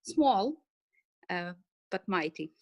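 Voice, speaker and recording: Middle aged woman, eastern european accent, casual interview answers, medium pitch, no room echo at all. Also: sing-song, slow pace, darkish tone, dull audio. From Ukraine and Russia. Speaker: interview guest, financial services business owner.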